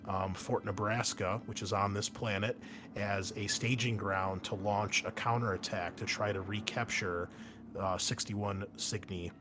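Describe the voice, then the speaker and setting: A man's voice: on the low side, noisy background. A man speaking as game master, explaining a tabletop roleplaying scenario in a conversational, improvised way. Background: background music.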